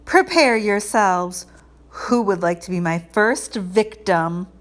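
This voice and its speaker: A woman's voice: mid-range voice, animated. Theatrical eccentric lady teacher, acted by a storyteller woman. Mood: grandly menacing. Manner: grand, drawn-out, theatrical.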